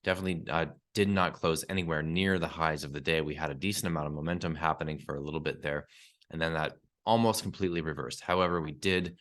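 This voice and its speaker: A man hosting a solo market update podcast, talking through prices and charts in a steady analytical way with some fillers, his voice congested from a cold. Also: very deep voice, fast talker. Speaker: podcast host and newsletter publisher.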